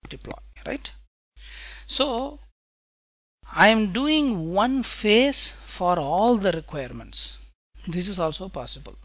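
A man teaching software testing in a screencast lecture, talking step by step and checking in with "right?" after his points. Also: sits high, animated, no room sound, highs cut off. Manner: slow, deliberate explaining, tag questions "right?".

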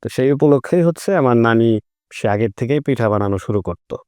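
A man's voice: mid-range voice, animated, brisk, a little mellow, clear, resonant tone, dry recording, studio quality. A man with a Bangladeshi accent, casually telling anecdotes in a group chat.

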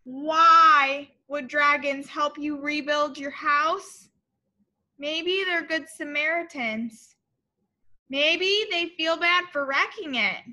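A young woman reading a picture book to children as a teacher, in an expressive, exaggerated way, often addressing her young listeners with questions. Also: high-pitched, takes it slowly. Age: young adult. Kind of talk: animated children's story read-aloud. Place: classroom, indoors.

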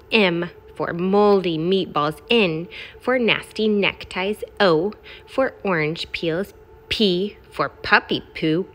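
A brash garbage truck from a picture book, acted out by a woman, proud of being smelly. It speaks in punchy, exclamatory phrases at a slow, deliberate pace, with a medium-pitched voice. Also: a bit bright. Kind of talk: expressive children's picture-book read-aloud.

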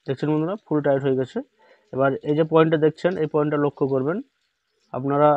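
A man hosting a hands-on repair tutorial, explaining each step slowly and repeating instructions, recorded on a phone indoors. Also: on the high side, steady tone, dry recording, muffled recording. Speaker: repair channel host. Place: indoor workbench.

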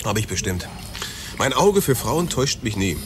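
A cocky junior detective. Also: male voice, fairly low pitch, very animated, normal speed. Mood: confident, speculating. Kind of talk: naturalistic German radio play acting.

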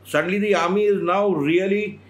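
Older male co-host, Indian English accent, measured and opinionated, somewhat high pitch. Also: steady tone. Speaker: podcast co-host, editor at large. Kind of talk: casual chat. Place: studio with bookshelves and car photos.

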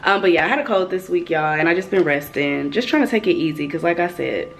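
A woman's voice: fairly low pitch, fast, vocal fry, a little hiss or hum. A woman, chatting casually to a handheld camera and sounding slightly nasal from a cold. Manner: chatty, laid-back, laughs often. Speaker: lifestyle vlogger. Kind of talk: casual vlog chat to camera. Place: home, then outdoors at a cinema plaza. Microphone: handheld camera at arm's length.

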